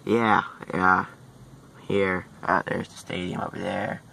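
A man's voice: low-pitched, flat delivery, takes it slowly, gravelly, pushed and bright. A young man vlogging in casual chat on a noisy handheld phone recording.

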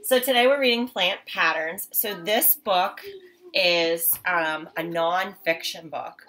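A woman's voice: fairly low pitch, takes it slowly, background noise. A woman in her thirties giving a read-aloud lesson for children, explaining simply and often checking in with short tags. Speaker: mother and teacher reading aloud for children. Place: indoors, small room at home.

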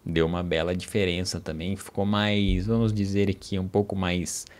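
A man in his thirties casually walking viewers through a software tool, enthusiastic and explaining step by step, speaking into a studio mic at home. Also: sits low, steady tone, normal speed. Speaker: tech YouTuber. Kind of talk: casual screen-recording tutorial. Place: home studio with gaming chair. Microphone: studio mic on stand.

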